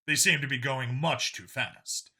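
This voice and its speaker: Bright, forceful male story narrator. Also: mid-range voice, measured pace, slightly rough. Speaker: audiobook narrator. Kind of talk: scripted fiction narration.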